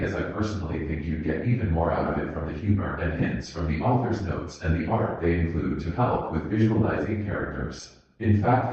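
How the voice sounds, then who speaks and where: A man's voice: deep voice, a little mellow. A man reading fan fiction aloud as a narrator, in a scripted audiobook style. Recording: muffled, very echoey room recording.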